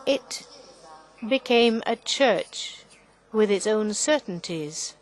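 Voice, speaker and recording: A woman interpreting a panel talk live, in halting phrases with frequent restarts and self-corrections. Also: mid-range voice, lively intonation, poor audio. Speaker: conference interpreter.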